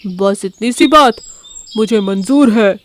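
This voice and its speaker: A woman voicing a grumbling, hungry lion king in an animated moral story, with drawn-out, sulky delivery. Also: mid-range voice, natural voice. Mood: eager.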